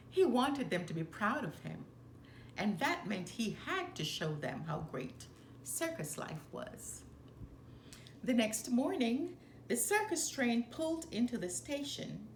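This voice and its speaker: A woman narrates a children's storybook with lively, swooping pitch and a fairly high voice. She takes her time over each phrase in a deliberate, animated read-aloud style. The room adds noticeable echo. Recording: roomy echo, faint background noise.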